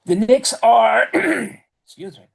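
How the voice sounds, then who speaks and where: A man co-hosting a casual sports podcast, rambling and correcting himself, his voice going a little hoarse. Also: extremely high pitch, expressive, slow pace. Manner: rambling, self-correcting, chatty. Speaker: podcast co-host.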